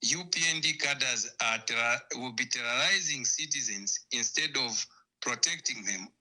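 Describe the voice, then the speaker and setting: Very sharp, forceful man. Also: mid-range voice, moderate pace, poor audio. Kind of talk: formal political speech, rhetorical questions.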